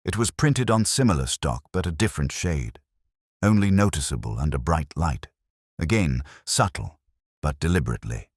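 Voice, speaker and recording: Man as calm shrewd inspector, very low voice, lively intonation, methodical, understated, audio mystery story. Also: normal speed, husky, dry recording, studio quality, full character voice. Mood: methodical, certain. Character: calm, quietly shrewd male police inspector explaining evidence.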